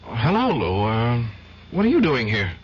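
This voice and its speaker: Uneasy young lawyer, hesitant but firm, old radio drama. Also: male voice, medium pitch, wildly swooping pitch, unhurried, husky, full character voice. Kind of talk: 1970s radio drama acting. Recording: dull old radio recording.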